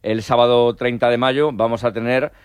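A man from Spain hosting a sports talk show, talking in a measured way with frequent hesitations. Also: medium pitch, fairly even intonation, clear, resonant tone, no echo. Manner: measured, hesitant with many fillers. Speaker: host.

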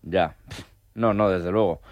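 Steady man talking sports on radio. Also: sits low, no room sound, muffled recording. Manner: measured, agreeing, frequent fillers. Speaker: sports reporter. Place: radio studio.

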